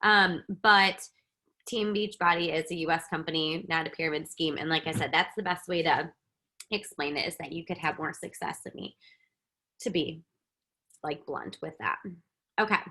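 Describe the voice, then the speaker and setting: A woman's voice: low voice, moderate pace, sharp tone. A woman hosting a coaching webinar, speaking casually with many fillers. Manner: upbeat, filler-heavy, explaining. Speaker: webinar host.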